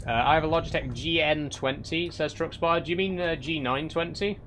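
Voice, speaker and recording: A man's voice: a little high, moderate pace. Young yorkshire guy streaming, chatty and a bit wry, some faint game noise under it. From Yorkshire, England. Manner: chatty, with frequent restarts and light wry teasing. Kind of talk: casual live-stream chat reading viewer comments.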